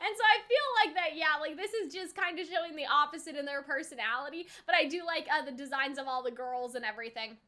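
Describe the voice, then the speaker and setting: A woman's voice: high voice, fast talker, few pauses, sharp tone. A woman giving casual reaction commentary in a chatty, rambling way, peppering her sentences with "like". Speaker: anime reaction host.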